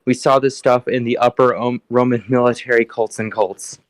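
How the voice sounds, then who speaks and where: A man's voice: mid-range voice, little pitch movement, moderate pace, dry recording. A man co-hosting a casual political stream, reading chat messages aloud and chiming in agreeably, hesitant and full of fillers.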